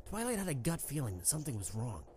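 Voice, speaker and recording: A woman's voice: a bass voice, sing-song, fast, warm tone. A woman playing a young pony prince and fond husband, a protective worrier, conversational and earnest in an amateur audio drama. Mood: worried, earnest. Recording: slightly noisy amateur microphone recording.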